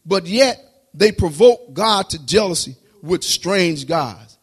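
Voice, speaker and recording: High-voiced man preaching a sermon. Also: expressive, slightly rough. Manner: reads scripture slowly, then preaches rising in pitch and loudness. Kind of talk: sermon reading scripture then preaching.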